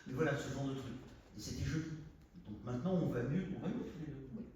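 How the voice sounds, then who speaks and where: A man's voice: mid-range voice, quick pace, warm tone. Chatty man in an echoey hall. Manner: chatty, self-deprecating, many fillers. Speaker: author presenting his book. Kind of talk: casual talk at a public event. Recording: echoey hall, distant mic, faint noise.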